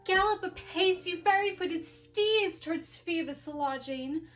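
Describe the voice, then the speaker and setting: A woman's voice: very high voice, a little slow, a bit bright, soft background music. A woman playing an impatient, lovestruck young heroine in a declamatory Shakespearean verse monologue. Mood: eager, impatient.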